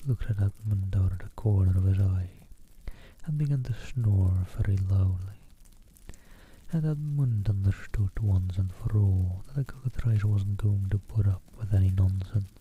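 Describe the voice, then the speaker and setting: A man streaming an ASMR reading, soft, whispery and unhurried, greeting viewers between passages. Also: sits low. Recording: tinny phone-like line, faint hiss.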